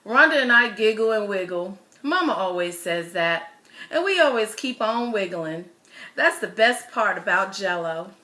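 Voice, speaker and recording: A woman reading a children's picture book aloud as a storyteller, with expressive, deliberate phrasing and stressed words. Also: mid-range voice.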